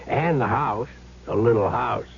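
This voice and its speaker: An actor playing an affectionate, upbeat reformed gambler and eager expectant husband in a radio drama. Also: male voice, medium pitch, unhurried, gravelly. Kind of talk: 1970s radio drama acting. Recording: dull old radio recording with hum.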